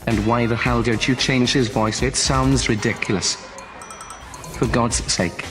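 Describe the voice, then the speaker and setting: A testy underworld fence from a science-fiction drama, acted by a man. He is sarcastic and grumbling, with an ordinary male pitch, and music plays clearly behind him. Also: fairly even intonation, measured pace, close to an everyday voice. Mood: exasperated. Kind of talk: science-fiction film dialogue.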